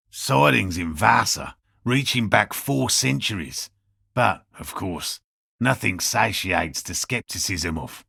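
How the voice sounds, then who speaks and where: Gravelly old cryptozoologist, pedantic and proud. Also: male voice, lowish voice, bright, forceful tone, dry recording, studio quality, exaggerated voice. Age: older. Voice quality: gravelly, throaty. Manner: pedantic, curt, precise academic diction. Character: proud, prickly old cryptozoologist, devoted field researcher. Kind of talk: video game character dialogue.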